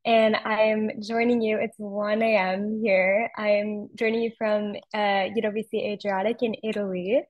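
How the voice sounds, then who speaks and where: A woman's voice: medium pitch, flat delivery, a little slow, hardly any pauses, muffled recording. Young woman, chatty student panelist. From Toronto, Canada. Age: young adult. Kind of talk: casual self-introduction on a video call.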